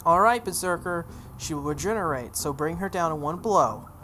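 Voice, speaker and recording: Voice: medium pitch, lively intonation, moderate pace, sharp tone, slight background noise. A let's play host voicing a smug, cruel young girl who taunts and commands in a visual novel. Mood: commanding. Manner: taunting, imperious.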